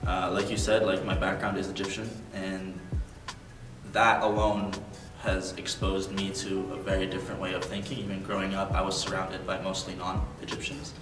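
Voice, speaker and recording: Young guy talking thoughtfully w lots of ums, lowish voice, sounds far off in an echoey room w music. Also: completely flat delivery, normal speed. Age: young adult. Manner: earnest, thoughtful, many ums and likes. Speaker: interview guest. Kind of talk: reflective interview talk.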